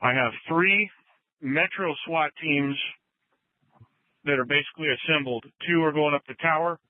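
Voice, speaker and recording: A man's voice: fairly high pitch, normal speed, dry recording. A man, a police commander, giving a status report over police radio. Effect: police radio transmission. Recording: tinny narrow-band police scanner audio.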